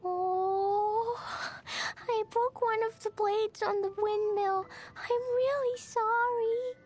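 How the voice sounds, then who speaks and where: A woman's voice: very high-pitched, steady tone, slow, a little mellow, faint music behind. A woman voicing an eager, mischievous little girl knight with a childlike, cutesy manner in a video game. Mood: sheepish. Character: hyperactive, mischievous little girl knight who loves bombs. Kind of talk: video game character voice lines.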